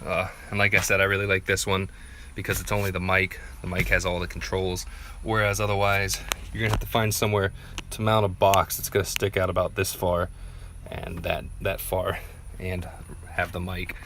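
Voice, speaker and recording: A man with a very bright, forward voice walks through a DIY tutorial in a plainspoken, casual way. His tone stays flat and even throughout. There is some background noise on the handheld recording. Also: low-pitched, normal speed. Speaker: vlogger presenting a DIY gear tutorial. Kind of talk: casual unscripted how-to walkthrough.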